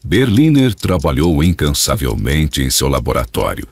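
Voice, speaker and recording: A man's voice: rumbling low, sing-song, moderate pace, a little hiss or hum. A man reading scripted radio drama narration in a formal, dramatic storytelling manner.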